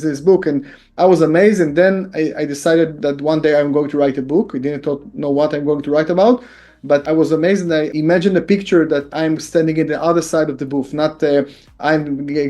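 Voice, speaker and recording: A man's voice: on the high side, mellow. A man in his forties from Israel, speaking English with an Israeli accent as a guest in an interview, earnest and full of fillers and repeated phrases. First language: Hebrew. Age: 40s. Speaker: guest author and business consultant. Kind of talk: casual interview talk.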